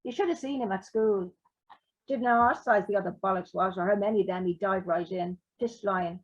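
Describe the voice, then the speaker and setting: A woman reading aloud as a blunt, sweary working-class man who drives a forklift, in her own husky voice. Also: medium pitch, brisk, lo-fi sound, close to an everyday voice. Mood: gruff, blunt. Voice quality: rough, sweary talk.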